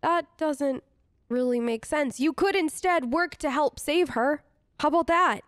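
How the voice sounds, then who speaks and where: A woman's voice: high-pitched, a little slow, no echo, clean studio sound. A woman role-playing her game character in casual, improvised dialogue, chatty, upbeat and informal.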